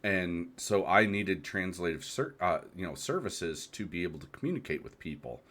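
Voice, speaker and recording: A man's voice: low-pitched, lively intonation, moderate pace, fairly bright tone. An American man in his thirties hosting a solo talk, reflective and hesitant, asking rhetorical questions. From United States. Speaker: podcast host. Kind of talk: solo monologue.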